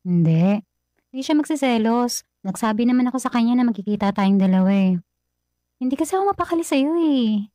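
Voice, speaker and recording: A woman's voice: fairly high pitch, animated, brisk, dark tone, dry recording, studio quality, close to an everyday voice. An actress playing a pregnant young bride-to-be who questions her ex-boyfriend earnestly in a radio drama. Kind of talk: Filipino radio drama acting.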